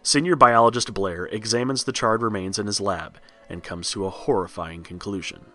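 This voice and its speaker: A man with a low voice reads a scripted horror read-along story as its narrator. Music plays lightly behind him. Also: moderate pace, a bit bright.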